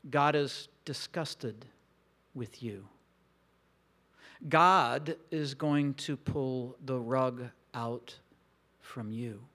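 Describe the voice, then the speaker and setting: A man preaching a sermon in a slow, reflective, intimate way with long pauses. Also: medium pitch, a bit bright. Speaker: pastor.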